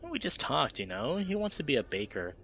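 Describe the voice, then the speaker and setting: A man putting on a high, whiny, babyish young woman. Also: big pitch swings, fairly quick, a bit bright, muffled recording, slight background noise, heavily acted. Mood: whiny, dopey. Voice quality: slight rasp, cooing whine.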